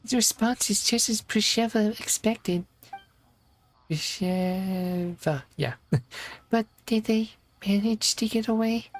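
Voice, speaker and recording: A woman's voice: medium pitch, takes it slowly. A woman voicing an earnest, loyal and worried character in a fantasy game, with a concerned, pleading manner. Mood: resigned.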